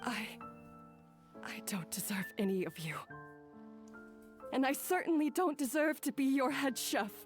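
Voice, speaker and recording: An actress playing a self-doubting young head chef in a game, earnest and hesitant. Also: female voice, high-pitched, lively intonation, measured pace, long pauses, soft background music. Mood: remorseful, pleading. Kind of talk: dramatic game voice acting.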